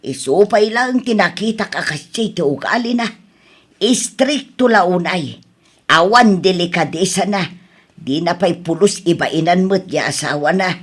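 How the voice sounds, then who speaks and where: A woman's voice: lowish voice, animated, unhurried, breathy edge. An actress playing a wife who complains emphatically about her husband in an audio drama. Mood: complaining.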